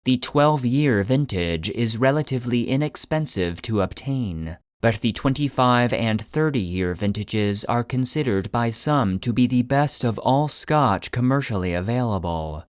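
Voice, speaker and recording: A man giving scripted narration in a measured, clinical manner, like a report being read out. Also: medium pitch, animated, no room sound, studio quality. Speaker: narrator and producer.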